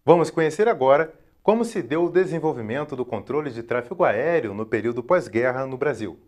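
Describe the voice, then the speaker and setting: Male narrator, Brazilian accent, mid-range pitch, formal scripted documentary delivery, measured, careful enunciation. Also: lively intonation, darkish tone.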